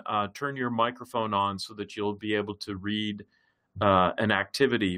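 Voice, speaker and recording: A man hosting an online language-learning web meeting as facilitator, talking in a halting way with many fillers and restarts. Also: mid-range voice, bright, forceful tone. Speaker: project facilitator. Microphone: computer mic in a web meeting.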